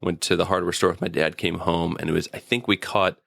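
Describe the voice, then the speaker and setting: Male co-host, very low gravelly voice, wry easygoing conversational delivery, close dry mic. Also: fairly even intonation, a little fast, a bit bright, dry recording. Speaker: podcast co-host. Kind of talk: casual conversation and episode review.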